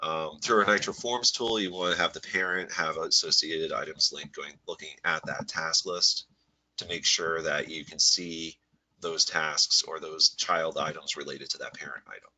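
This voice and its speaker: A man with a head cold and a slightly nasal voice, presenting a live software webinar in a relaxed, rambling way full of fillers. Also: very deep voice, moderate pace, rough recording. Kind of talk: live webinar walkthrough with screen share.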